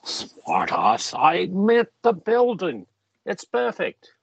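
A man's voice: high voice, huge pitch swings, takes it slowly, vocal fry, slightly rough, no room sound, exaggerated voice. A man playing a joking eldest brother who teases his younger sister. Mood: teasing. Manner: teasing, jokey banter. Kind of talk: amateur audio drama acting.

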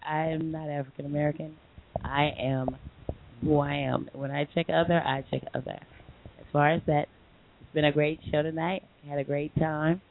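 A woman's voice: low voice, level tone, normal speed. A woman hosting a late-night call-in radio show. She argues back emphatically and repeats herself. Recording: tinny phone line with faint hiss.

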